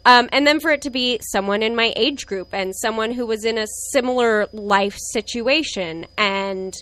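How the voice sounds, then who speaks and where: A woman's voice: fairly high pitch, normal speed, poor audio. A woman in her twenties talking candidly and reflectively about her relationship in a casual conversation. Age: 20s. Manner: reflective, candid, soft laughs. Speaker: guest.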